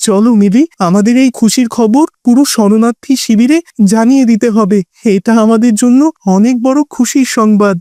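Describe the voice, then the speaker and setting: A woman's voice: on the high side, moderate pace, warm tone, dry recording, clean studio sound, lightly acted. A woman voicing an earnest, exclamatory young refugee boy in a cartoon story, full of amazement and hope. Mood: amazed, hopeful.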